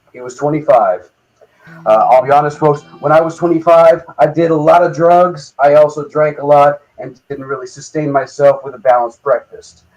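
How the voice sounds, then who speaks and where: A man hosting a podcast, reading scripted bits and interviewing guests in a deadpan, profane comic manner. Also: a little high, poor audio. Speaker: podcast host. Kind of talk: scripted show monologue and band interview.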